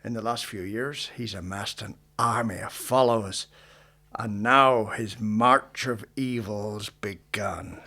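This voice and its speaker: A man's voice: on the low side, slow, pushed and bright, exaggerated voice. An actor playing a sly western lawman with delusions of grandeur, falsely genial and menacing, in a radio drama. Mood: grandiose, ominous. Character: sly, scheming Texas Ranger with delusions of grandeur.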